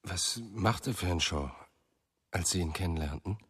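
Radio drama male lead: brooding, low-pitched, slow-spoken, husky. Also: a bit bright, no echo, clean studio sound, exaggerated voice. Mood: probing. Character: brooding male narrator, old friend of a missing writer. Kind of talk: German radio drama acting, first-person narration.

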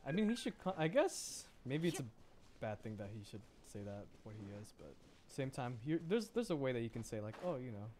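A man's voice: mid-range voice, sing-song, brisk, a little mellow, slight background noise. A man streaming a video game, giving casual, amused commentary and exclaiming at what he sees.

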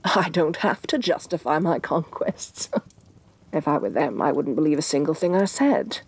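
Lady acting as a domineering evil queen for fantasy asmr, soft husky low voice, swoopy delivery, imperious and condescending. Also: expressive, normal speed, heavily acted. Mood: musing. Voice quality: soft, breathy. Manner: imperious, sardonic, sighing condescension. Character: domineering evil sorceress queen, haughty, vain, grudgingly softening. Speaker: voice actress. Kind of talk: soft-spoken ASMR fantasy roleplay, acted monologue.

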